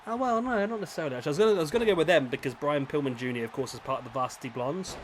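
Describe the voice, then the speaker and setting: A man with a slightly rough, raspy throat, casually commentating a wrestling video game as the channel host, thinking aloud and correcting himself. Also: on the high side, very fast, slight background noise. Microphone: headset microphone.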